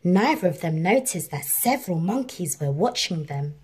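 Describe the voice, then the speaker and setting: Expressive woman reading a children's storybook. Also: lowish voice, normal speed, slightly rough. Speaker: storybook narrator. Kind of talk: scripted children's read-aloud narration.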